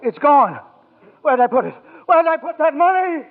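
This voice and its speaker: A man's voice: very high voice, big pitch swings, moderate pace, heavily acted. A man playing a scatterbrained, good-hearted older uncle, flustered and repeating himself in a 1940s radio drama. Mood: panicked, helpless. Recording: dull, reverberant old radio recording.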